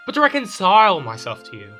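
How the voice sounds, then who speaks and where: A man's voice: sits high, huge pitch swings, quick pace, sharp tone, soft background music, exaggerated voice. An actor playing a proud, petulant French king in a period audio drama, with a theatrical, formal manner. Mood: conciliatory. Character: proud, petulant French king, suspicious yet conciliatory husband.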